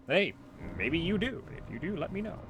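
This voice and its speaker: A man's voice: high-pitched, sing-song, moderate pace, fairly bright tone, a little hiss or hum. A man giving casual let's play commentary on a video game, wry and freely swearing. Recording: voice over game music. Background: game music.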